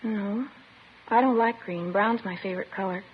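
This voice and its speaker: A woman's voice: mid-range voice, moderate pace, close to an everyday voice. A woman playing a sullen, defensive schoolgirl in a 1950s radio crime drama, petulant and emotionally detached. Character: sullen, defensive, childlike schoolgirl confessing to police. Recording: muffled, noisy old 1950s radio recording.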